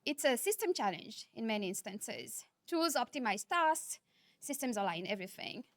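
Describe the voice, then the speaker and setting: A woman from Nepal, giving a conference keynote speech in a measured, earnest manner, often posing rhetorical questions. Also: fairly high pitch, sing-song, no room sound. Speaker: keynote speaker.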